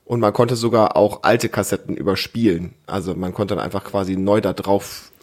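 A man's voice: on the low side, fairly even intonation, moderate pace, darkish tone. A man in his thirties or forties hosting a casual family podcast, chatting encouragingly and explaining things simply to a child. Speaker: podcast host.

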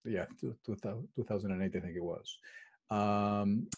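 A man's voice: fairly low pitch, moderate pace, a little mellow, breathy edge, highs cut off. A middle-aged man from Peru, answering interview questions about his work in a hesitant way, with many fillers and restarts. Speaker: guest, well-being consultant and coach.

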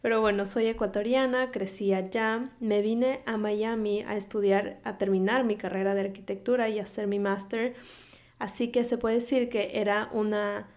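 A woman's voice: mid-range voice, steady tone, normal speed, darkish tone. A young woman with an Ecuadorian accent from Quito, hosting her own podcast in a chatty, upbeat way, laughing often and slipping English words into her talk. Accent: Ecuadorian Spanish from Quito. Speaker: podcast host and health coach. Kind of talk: solo podcast monologue.

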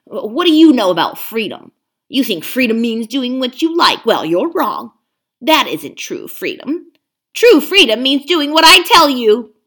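A woman's voice: fairly high pitch, very animated, measured pace, a bit bright, breathy edge, voice pushed up, full character voice. A woman reading aloud, voicing a scheming, domineering male ape who snarls and hisses at the other animals.

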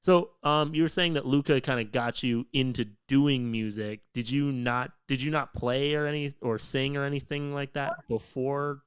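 Laid-back man with a smooth voice. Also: mid-range voice, steady tone, moderate pace, few pauses. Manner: laid-back, many fillers like "like" and "um", swears casually. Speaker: podcast host. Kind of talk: casual chat.

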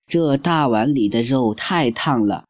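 A man's voice: medium pitch, lively intonation, unhurried, dry recording. A man voicing a spoiled, whiny little blonde girl who keeps complaining, in a storybook reading. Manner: complaining, petulant.

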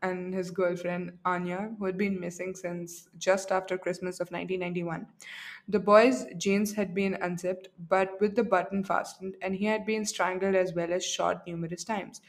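A woman's voice: fairly low pitch, level tone, moderate pace. A young woman from India hosting a casual true-crime chat, rambling with lots of fillers and jokes. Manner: rambling, filler-heavy, joking. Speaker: podcast co-host.

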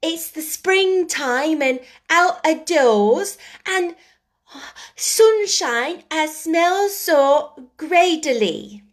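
A woman playing a high, slow-spoken storybook girl, proudly laying on broad Yorkshire. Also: animated, heavily acted. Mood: triumphant. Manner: broad dialect, triumphant. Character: young girl, proudly playful, broad-talking.

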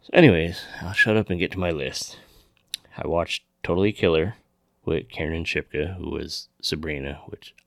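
A man's voice: low voice, fairly even intonation, normal speed, creaky, a bit bright, dry recording, clean studio sound. A man hosting a podcast in casual, informal chat, swearing freely. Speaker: podcast host.

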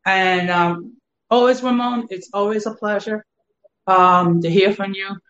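An older man, a guest on a livestream, speaks in an earnest, measured and slightly formal way. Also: high voice, steady tone, fairly bright tone, dull audio, a little hiss or hum. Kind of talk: casual chat, earnest thanks.

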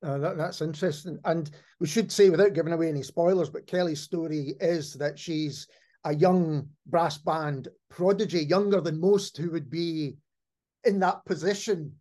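A man's voice: a little high, normal speed, warm tone. A middle-aged man speaks as the host of a podcast. His delivery is conversational, as he responds and leads into questions for his guest. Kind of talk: conversational interview.

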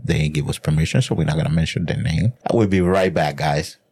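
A man's voice: extremely low pitch, quick pace, darkish tone, slight background noise. A man with a Puerto Rican Spanish accent, a native Spanish speaker, co-hosting a podcast in casual chat, laughing often and swearing freely. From Puerto Rico. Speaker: podcast co-host.

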